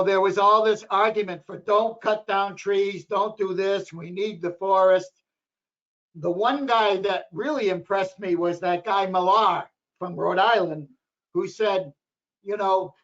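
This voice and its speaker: A hoarse man with a high-pitched voice talks at a town planning board meeting, rambling and repeating himself. The sound is dull and lo-fi, like local cable TV audio. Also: a bit bright. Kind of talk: meeting discussion.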